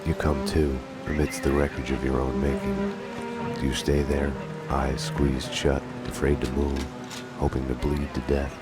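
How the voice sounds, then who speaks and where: An actor playing a world-weary, fatalistic detective who narrates his own downfall in a grim, deliberate noir style. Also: big pitch swings, heavily acted. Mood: brooding, fatalistic. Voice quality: very deep, low-voiced. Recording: loud music behind the voice. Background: loud game soundtrack music.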